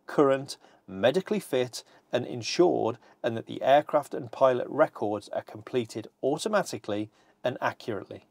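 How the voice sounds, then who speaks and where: A middle-aged man giving a scripted, measured walkthrough of a cockpit system, speaking precisely while seated in an aircraft cockpit. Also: medium pitch, a little mellow, dry recording. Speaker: company presenter.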